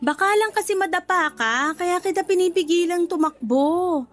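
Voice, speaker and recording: A woman's voice: very high voice, normal speed. An actress playing a polite, protective young mother in a radio drama. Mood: apologetic, gently chiding. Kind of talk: Tagalog radio drama acting.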